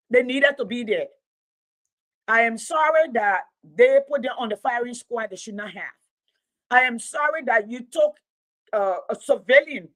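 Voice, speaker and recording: A woman with a Liberian accent speaks in a somewhat high-pitched voice. Her English is a second language after Mano and Gio. She talks off the cuff like a storyteller hosting an online show, bluntly and with heavy emphasis, repeating phrases to drive them home. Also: a bit bright, rough recording. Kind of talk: unscripted political commentary to livestream viewers.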